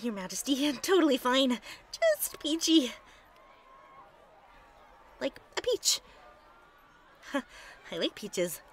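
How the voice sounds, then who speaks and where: A woman's voice: sits high, very animated, very slow, pauses often, slight background noise, full character voice. A woman playing an anxious, kind young pony aide in a radio drama, earnest and easily flustered. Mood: flustered, nervous. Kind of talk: fan radio drama acting.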